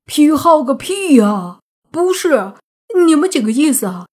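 A woman's voice: medium pitch, animated, moderate pace, mellow, breathy edge. A woman narrator voicing a flustered, indignant young male student who sputters defensively in an audiobook story. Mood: indignant, exasperated.

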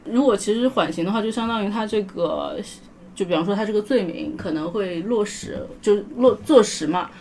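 A woman's voice: medium pitch, a little fast, darkish tone, a little hiss or hum. A woman explaining legal procedure as a guest in a casual podcast discussion, carefully qualifying what she says and readily agreeing with others. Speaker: guest legal commentator, lawyer.

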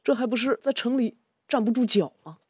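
Radio drama role: anxious, grumbling migrant father, colloquial delivery, mid pitch, dry sound, acted out. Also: brisk, darkish tone, breathy edge, dry recording, lightly acted. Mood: weary, frustrated. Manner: sighing, complaining, colloquial. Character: worried migrant father seeking a loan in the city. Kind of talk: amateur radio drama acting.

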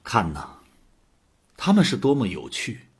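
A male actor as an earnest creator god in a radio play. His lowish voice is very husky and rough, rising and falling dramatically with theatrical conviction. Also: wildly swooping pitch, unhurried, heavily acted. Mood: admiring. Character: earnest creator god, proud of living things. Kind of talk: audio drama acting.

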